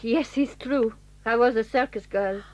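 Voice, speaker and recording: A woman's voice: fairly high pitch, measured pace, a little mellow, close to an everyday voice. A woman playing a frank, unashamed schoolgirl from the circus in a children's drama, on a slightly muffled recording. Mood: cool defiance. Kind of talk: 1980s children's audio drama acting.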